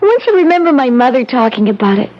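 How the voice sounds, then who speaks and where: A very high-voiced girlish young bride. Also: sing-song, fast. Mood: uneasy. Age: young adult. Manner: girlish, pleading, hesitant. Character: timid, adoring teenage bride, girlish and easily cowed. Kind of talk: old-time radio drama acting. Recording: dull, noisy old radio broadcast.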